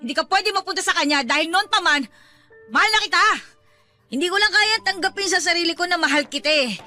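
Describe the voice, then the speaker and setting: A woman's voice: very high-pitched, fast talker, sharp tone. A woman playing a tough-talking tomboy young mother, blunt and emotional, reluctantly in love, in a Filipino radio-style romance drama. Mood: tearful confession. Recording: music under the dialogue.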